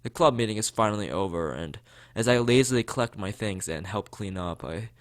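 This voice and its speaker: A man's voice: fairly low pitch, expressive, a little fast. A man hosting a game playthrough, reading visual novel narration aloud in an informal way and sometimes stumbling over lines and rereading them. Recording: music playing behind the voice. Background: plucked guitar game music.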